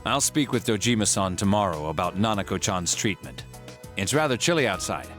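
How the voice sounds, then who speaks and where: An actor playing a considerate hospital doctor in an English video game dub, measured, formal and gentle. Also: male voice, fairly low pitch, animated. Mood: calm, considerate. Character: calm, considerate adult male hospital doctor. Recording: music bed under the voice.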